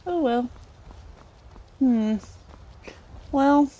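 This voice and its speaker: A woman's voice: high-pitched, very slow, mellow, muffled recording. A woman playing an earnest, polite, inquisitive scholar adventurer in a video game, chatting and musing aloud with some hesitation. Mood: delighted, musing. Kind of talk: improvised in-character let's play monologue.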